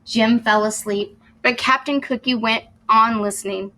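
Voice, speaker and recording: Bright, unhurried woman reading to young children. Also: fairly high pitch, steady tone, low-quality recording. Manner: explains to young listeners as she reads. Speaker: teacher reading a picture book aloud. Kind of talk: expressive children's story read-aloud with asides.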